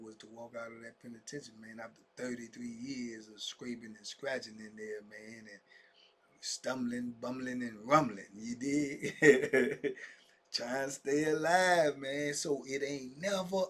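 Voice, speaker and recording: Man, 50s, husky voice, loose street-slang storyteller, talks straight to his viewers. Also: medium pitch, fairly even intonation, unhurried. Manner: street slang, many fillers like "man" and "you dig"; sometimes drops to a whisper. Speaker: vlogger telling his own prison story. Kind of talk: casual storytelling monologue to camera.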